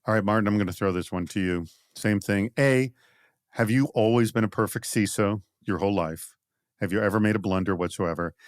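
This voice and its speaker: Low-voiced male podcast host, dry close sound. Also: brisk, no room sound. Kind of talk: conversational interviewing on a podcast.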